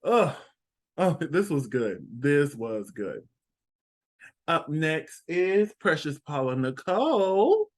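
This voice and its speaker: A man's voice: fairly high pitch, very animated. A sassy, playful man with a Texan accent, co-hosting a casual review chat. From Texas. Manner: sassy, playful, drawn-out emphasis. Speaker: co-host.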